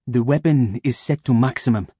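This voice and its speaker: A man voicing a cold, commanding female agent with a soft, purring French accent, read in a dry, close recording. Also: medium pitch, normal speed, breathy edge, no room sound, natural voice.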